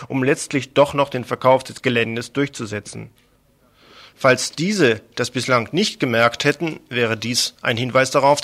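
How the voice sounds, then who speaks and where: A man's voice: mid-range voice, fairly bright tone. A man presenting radio news, reading a prepared report.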